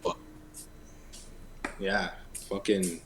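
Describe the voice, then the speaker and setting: Low-voiced Toronto man, slangy and halting. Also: little pitch movement, a little hiss or hum. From Canada. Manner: halting, sweary, repeats tag phrases. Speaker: interviewee, musician. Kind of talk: casual interview chat with heavy slang.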